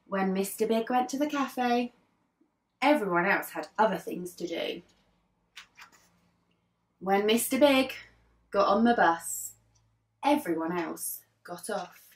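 A woman in her thirties reading a children's story aloud like an infant teacher, expressively, with dramatic pauses between phrases. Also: mid-range voice, expressive. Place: living room sofa, indoors. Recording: roomy, distant mic, faint music behind.

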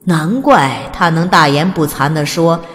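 Low-voiced woman narrating an audiobook. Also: unhurried. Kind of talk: scripted narration. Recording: long reverb.

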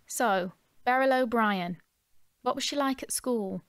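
An actress playing a caring, steady female friend in an audio drama, soothing and practical. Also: a little high, a little slow, smooth, no echo. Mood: curious.

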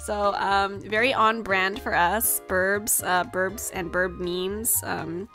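A woman's voice: medium pitch, steady tone, takes it slowly, pushed and bright. Giggly young woman streamer, music behind. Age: young adult. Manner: giggly, playful, rambling. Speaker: livestreamer. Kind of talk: casual livestream chat while gaming. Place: small room at home, indoors. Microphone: desk microphone with headset.

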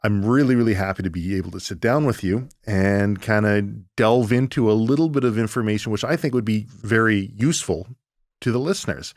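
A man's voice: fairly low pitch, fairly quick, darkish tone, dry recording, studio quality. A man speaking as a podcast host, introducing the show and interviewing.

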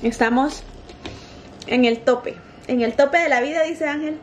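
A woman's voice: sits high, unhurried, a little hiss or hum. A woman in her thirties vlogging in her home kitchen on a handheld phone, chatty and playful but tired and sleepy. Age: 30s. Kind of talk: casual home vlog. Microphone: handheld phone camera.

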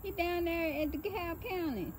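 A woman's voice: extremely high pitch, moderate pace, very noisy. A woman playing a pushy, skeptical friend in a comedy skit, speaking bluntly in casual slang. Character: pushy, skeptical friend seeking a lawyer for cousin. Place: outdoors.

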